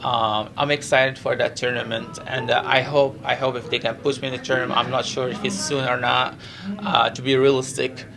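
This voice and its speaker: Gravelly Moroccan-accented male fighter. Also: mid-range voice, flat delivery, normal speed, a crowd in the background. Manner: earnest, repetitive, non-native English with frequent 'you know'. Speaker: professional MMA fighter. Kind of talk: press interview, casual chat.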